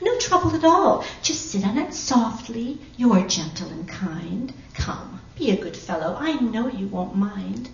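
A woman's voice: on the high side, very animated, a little slow, gravelly, few pauses, reverberant, far from the mic, dull audio, a little hiss or hum, full character voice. A woman voicing a lazy, carefree female bird from a storybook, coaxing and pleading in rhyme.

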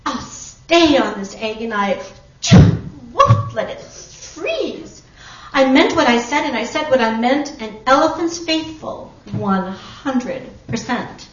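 A woman voicing a huge, gentle male elephant from a storybook, patient and earnest, speaking in emphatic rhyme. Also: medium pitch, lively intonation, unhurried, echoey room, far from the mic, highs cut off, natural voice. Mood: earnest, resolute. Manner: emphatic, rhyming declarations.

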